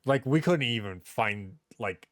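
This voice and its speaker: A man's voice: fairly low pitch, animated, unhurried, dry recording, clean studio sound. A man chatting casually on a comedy podcast, given to exasperated, sweary rants. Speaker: podcast host.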